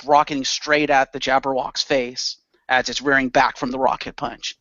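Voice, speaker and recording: Male player-narrator, bright forceful mid-range voice, improvised third-person fantasy action, dry and a bit muffled. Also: mid-range voice, little pitch movement, normal speed, no room sound. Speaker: player narrating own character.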